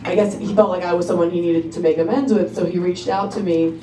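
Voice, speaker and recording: A young woman performing a stand-up comedy set with a laid-back, halting delivery full of ums. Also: on the low side, fairly even intonation. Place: comedy club stage. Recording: roomy club recording from a distance, crowd noise. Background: audience laughter.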